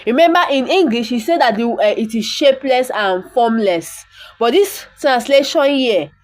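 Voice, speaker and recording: A woman's voice: fairly high pitch, animated, normal speed. A woman, an Igbo speaker, teaching the language by reading a bible verse and repeating words for pronunciation. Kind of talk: reading and explaining a bible text.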